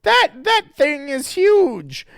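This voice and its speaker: A man voicing a bossy young girl heroine in a shrill, breathless falsetto. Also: huge pitch swings, very slow, vocal fry, a bit bright, no room sound, clean studio sound, exaggerated voice. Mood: panicked.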